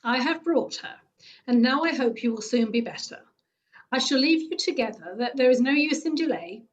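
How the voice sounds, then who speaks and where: A woman reads as a cheerful middle-aged gentleman keeping a secret, brisk and jovial. Her voice stays on the high side for a man. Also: animated, dull audio.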